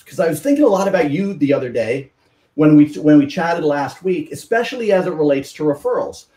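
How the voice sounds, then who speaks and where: A man's voice: a little high, mellow. Man teaching from a distance, echoey. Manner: explanatory, teaching with examples. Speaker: podcast guest, communication coach. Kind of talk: podcast interview.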